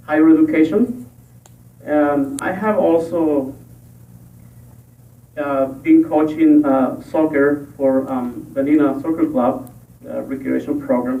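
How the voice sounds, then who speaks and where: Guy from ecuador giving a candidate speech, bit high voice, tinny far-off echoey sound. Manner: halting, many fillers. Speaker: school board candidate. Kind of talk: public forum speech. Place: library meeting room. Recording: echoey room, tinny and distant.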